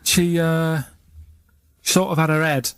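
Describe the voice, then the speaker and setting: A man co-hosting a radio chat show, rambling in a deadpan, halting way with vague explanations. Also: a little high, clear, resonant tone, no echo.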